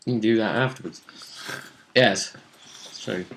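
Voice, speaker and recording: A man's voice: on the low side, unhurried, a bit bright, slight background noise. A man chatting casually as a game master, recapping a tabletop role-playing session.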